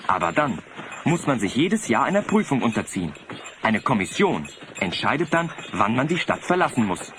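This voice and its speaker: A man plays a vain, naive young fellow with a very animated, mid-pitched voice. He speaks earnestly and with swooping intonation in radio play style, over faint tape noise. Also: moderate pace, close to an everyday voice. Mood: proud, enthusiastic. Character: naive, vain young male sled driver, devoted citizen. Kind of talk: German audio drama acting. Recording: slightly noisy cassette audio drama.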